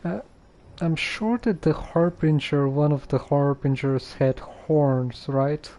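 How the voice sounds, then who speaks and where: A man's voice: a little high, slow pace, mellow. A man streaming a video game, musing casually and thinking aloud in a hesitant way.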